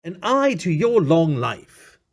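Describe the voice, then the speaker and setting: A man acts out a cunning gothic aristocrat feigning concern. The delivery is formal and solicitous, fairly high in pitch with big melodic swings. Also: very animated, slow pace, exaggerated voice. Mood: falsely solicitous. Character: cunning vengeful nobleman feigning friendly concern.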